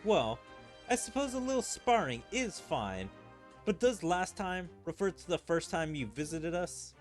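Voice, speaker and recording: Male gaming streamer, fairly high voice, very lively intonation, theatrical read-aloud delivery, side commentary, music behind. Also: unhurried. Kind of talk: reading game dialogue aloud with side commentary.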